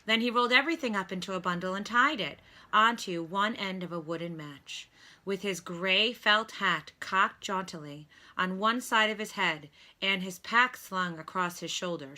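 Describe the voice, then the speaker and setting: A woman reading a children's storybook aloud like a teacher, with deliberate, instructive delivery. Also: mid-range voice, bright, forceful tone. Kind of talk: read-aloud storybook narration with lesson prompts.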